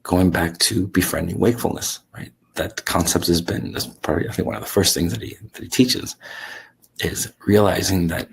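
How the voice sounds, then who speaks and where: Gravelly low-voiced man, congested. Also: moderate pace, a little hiss or hum, rough recording. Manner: relaxed, reflective, with many fillers and restarts. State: sick, congested with sore throat. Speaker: sleep coach. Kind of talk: solo talk to camera.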